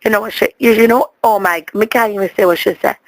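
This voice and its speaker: A woman's voice: mid-range voice, fast, no room sound, rough recording. Chatty, dramatic Jamaican woman telling a story. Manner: dramatic, chatty, repeats words for emphasis. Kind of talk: rambling comic storytelling in a voicemail.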